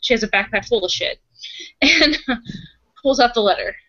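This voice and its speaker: A woman's voice: a little high, lively intonation, normal speed, sharp tone, dry recording. A woman playing a tabletop roleplaying game, improvising her character's actions in loose, informal, self-correcting chatter. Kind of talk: casual improvised roleplay and narration. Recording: dry, slightly muffled voice-chat audio.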